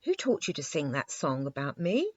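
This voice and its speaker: Woman voicing a sulky little boy king, pitch swooping. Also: wildly swooping pitch, moderate pace, slightly rough, muffled recording, heavily acted. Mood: breathless, demanding. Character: sulky eight-year-old boy king, impatient and bored.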